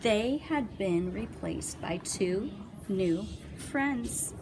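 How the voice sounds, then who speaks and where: Very slow, animated woman reading a storybook. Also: medium pitch, noisy background. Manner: playful, dramatic emphasis. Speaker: read-aloud storyteller. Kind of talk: expressive children's picture book reading with asides.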